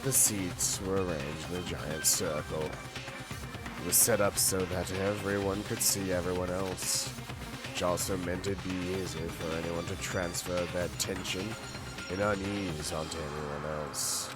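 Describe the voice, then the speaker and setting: A man whose voice is gravelly from being sick, streaming and reading a game aloud with dramatic narration and jokey asides. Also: low-pitched, steady tone, a little slow. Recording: loud game music behind.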